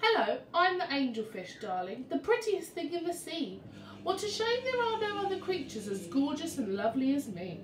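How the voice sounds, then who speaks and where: Woman voicing a vain, high-pitched angelfish. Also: sing-song, moderate pace, reverberant, far from the mic, a little hiss or hum, poor audio. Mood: vain, preening. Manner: self-admiring, gushing. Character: vain, gorgeous angelfish, preening and affected.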